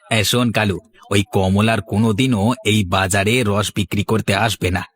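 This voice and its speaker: A man's voice: on the low side, fairly even intonation, moderate pace, lightly acted. A man playing a boastful, bossy village swindler in a cartoon, gloating and scolding with an oily manner. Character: crafty, boastful male village juice swindler, bossy ringleader. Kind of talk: cartoon voice acting.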